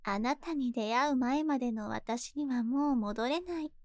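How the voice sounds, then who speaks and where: A woman voicing a lovesick, obsessively devoted female spider monster in an ASMR voice drama, speaking in soft, intimate, doting tones. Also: high voice, no echo, studio quality. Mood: wistful devotion. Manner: slow, intimate, doting feminine speech. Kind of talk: Japanese ASMR situation voice drama.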